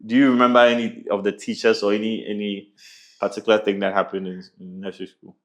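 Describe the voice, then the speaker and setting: Measured male interviewer, low voice. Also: level tone. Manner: measured, repeats words while finding phrasing. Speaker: interview host. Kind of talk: interview questioning.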